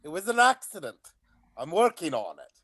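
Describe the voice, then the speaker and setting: A man's voice: fairly high pitch, big pitch swings, normal speed, a bit bright, full character voice. A man playing a bumbling, easygoing handyman in a read-through of a stage comedy. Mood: harried, unbothered. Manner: matey, casual. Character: bumbling, easygoing handyman in an amateur panto troupe. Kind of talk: play reading of a stage comedy.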